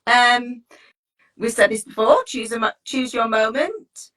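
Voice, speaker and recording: Bright-voiced woman giving informal advice. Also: a little high, measured pace, low-quality recording. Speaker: teacher well-being coach and host. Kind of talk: informal advice talk, conversational.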